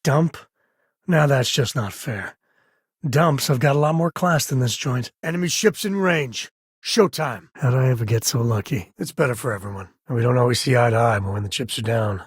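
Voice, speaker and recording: A heavily husky, gravelly spacefarer played by a male actor from a video game, with a medium-pitched voice. He is an easygoing, loyal father and explorer who talks in a wry, folksy, conversational way. The sound is dry, with no room around it. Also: moderate pace, no echo, natural voice. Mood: urgent, then tender, regretful. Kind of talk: video game character dialogue.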